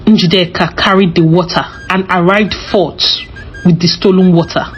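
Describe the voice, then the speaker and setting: Lower-pitched woman telling a folktale. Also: steady tone, normal speed. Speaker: storyteller. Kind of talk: scripted folktale narration. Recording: slightly dull recording with music behind. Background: music.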